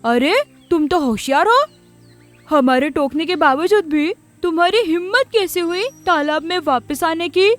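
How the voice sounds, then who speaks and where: A woman voicing an intolerant female fish, stern and dismissive, in a children's animated story. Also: very high-pitched, measured pace, soft background music. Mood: scolding.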